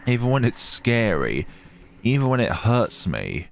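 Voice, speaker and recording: Man, let's play host, low voice, lively swings, unhurried, dramatic reading. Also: vocal fry, slight background noise. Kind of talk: reading game text aloud with reactions. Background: game music.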